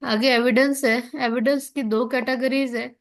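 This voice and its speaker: A woman lecturer with a Pakistani accent and a fairly high voice. She explains material in a teaching style, posing questions and answering them herself. She regularly checks that the listener is following. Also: steady tone, unhurried. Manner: checks understanding often, asks and answers own questions. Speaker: law lecturer. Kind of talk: explanatory online lesson, reading out and explaining legal text.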